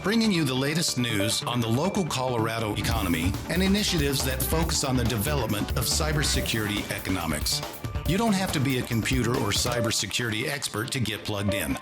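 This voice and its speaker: Male announcer over loud music. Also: mid-range voice, big pitch swings, brisk. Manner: upbeat promotional delivery. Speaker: show announcer. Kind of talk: scripted show intro.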